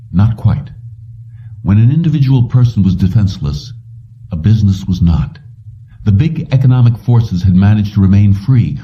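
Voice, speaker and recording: A man reading a science fiction story aloud as scripted audiobook narration. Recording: distant, dull low-fidelity audio.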